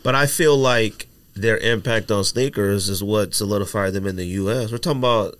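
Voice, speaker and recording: A man's voice: lowish voice, normal speed, fairly bright tone, smooth. A man co-hosting a podcast, chatting casually in loose run-on thoughts with slang. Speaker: podcast co-host.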